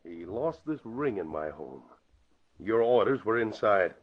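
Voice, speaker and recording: An actor playing a menacing, vengeful crook, sneering and confrontational in 1940s radio style. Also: male voice, on the low side, very animated, a little slow. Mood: accusing. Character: menacing, accusing crook bent on revenge. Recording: dull lo-fi vintage radio broadcast.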